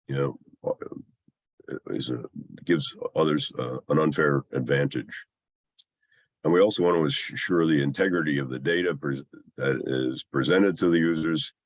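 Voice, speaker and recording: Very deep, scratchy-voiced man presenting haltingly. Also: slightly rough, dry recording. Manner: halting, hesitant delivery with long pauses. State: scratchy voice from a mild illness. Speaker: presenter of a technical talk. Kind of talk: slide presentation, explanatory lecture.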